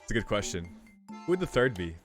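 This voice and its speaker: Young male game streamer, lowish voice, informal and musing, reads text aloud, light music behind. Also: very animated, quick pace. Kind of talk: casual playthrough commentary mixed with reading game text aloud.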